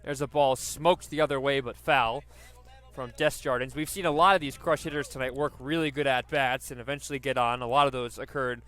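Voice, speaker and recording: A man's voice: medium pitch, a bit bright. A man doing live radio baseball play-by-play, describing the action and working in stats as he goes. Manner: descriptive, stat-laden delivery.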